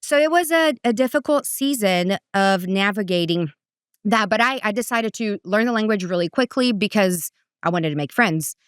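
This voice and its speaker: A woman from Brazil, middle-aged, chats about her life in a lively, animated way, and her voice climbs high whenever she quotes someone. Her tone is smooth and clear, and the recording is dry and close with no room sound. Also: moderate pace, no room sound. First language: Portuguese. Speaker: guest, parenting coach. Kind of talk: casual conversational storytelling.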